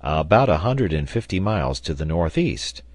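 Learned leader and engineer for a storybook, voiced by a man: low, measured, calm, exact. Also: no room sound. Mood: matter-of-fact. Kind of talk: audiobook character dialogue.

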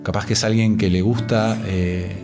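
A man in his thirties from Argentina, talking with a Rioplatense accent in a fairly low voice. He speaks reflectively, stopping and repeating words as he gathers his thoughts. Soft guitar music plays behind him. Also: steady tone. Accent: Argentine Rioplatense Spanish. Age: 30s. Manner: thoughtful, halting, repeats words as he searches for them. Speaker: street poet, interviewee. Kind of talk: reflective personal interview.

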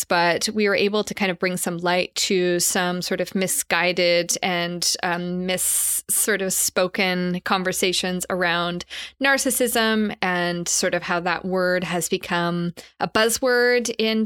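A woman's voice: medium pitch, fairly bright tone, no echo, clean studio sound. A young Canadian woman hosting her podcast, chatting casually and enthusiastically with frequent restarts. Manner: enthusiastic, gushing, many restarts. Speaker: podcast host.

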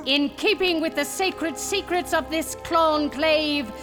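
A woman's voice: high-pitched, slow, music in the background. An actress playing a cold, commanding female cult leader in a radio drama, solemn and declamatory in ceremonial speech. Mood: grave, merciless.

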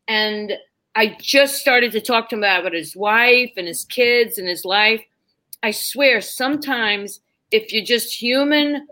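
A woman with a New York accent, telling lively stories as a podcast guest and acting out the people in them. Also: fairly high pitch. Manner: animated, acts out voices in her stories. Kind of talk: casual storytelling chat.

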